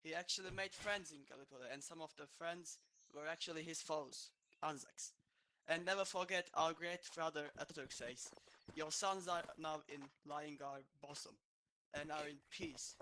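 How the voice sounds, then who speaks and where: Bright-voiced Turkish man, earnest speech. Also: on the high side, normal speed, slight background noise, rough recording. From Turkey. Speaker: memorial speaker. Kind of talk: speech over game voice chat.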